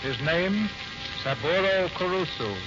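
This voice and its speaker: An actor playing a grave, portentous American narrator in a scripted 1940s wartime radio drama. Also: male voice, high voice, expressive, unhurried. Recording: muffled old radio recording. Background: loud music.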